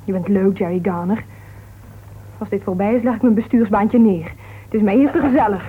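A woman's voice: mid-range voice, a little fast, background noise, lightly acted. A woman playing a tart, self-assured society woman in a radio play, arch and dryly mocking. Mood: tart, miffed. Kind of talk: Dutch radio play acting. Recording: tinny old radio recording.

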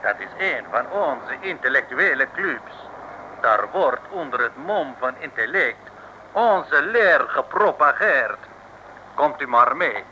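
An actor playing a stern, pompous Soviet commissar in an old radio serial, speaking formally and giving orders. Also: male voice, medium pitch, very animated, takes it slowly. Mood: formally courteous. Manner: commanding, formal, propagandizing. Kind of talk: 1950s-60s radio serial acting. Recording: noisy old radio recording.

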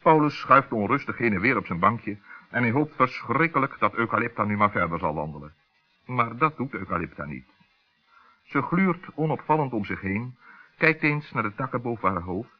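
A man with a husky voice narrating a scripted children's radio story. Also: medium pitch, lively intonation, moderate pace, soft background music. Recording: dull old radio recording.

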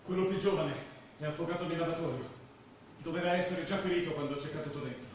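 A brisk policeman as a man acts him on stage, talking fast and matter-of-factly to a superior. His fairly high voice comes through heavy echo. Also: fairly even intonation, natural voice. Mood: businesslike. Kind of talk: stage drama acting. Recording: echoey theatre stage, distant, noisy.

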